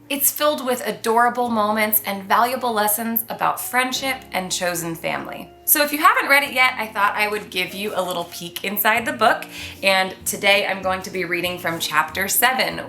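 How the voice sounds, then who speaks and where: A woman with an enthusiastic, expressive delivery, presenting and reading a children's book aloud. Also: medium pitch. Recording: echoey room, faint music behind.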